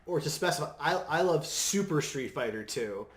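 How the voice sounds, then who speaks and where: A man's voice: a little high, animated, moderate pace, a little hiss or hum. A man hosting a podcast, talking casually about movies and games. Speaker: podcast host. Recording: roomy, echoing room sound.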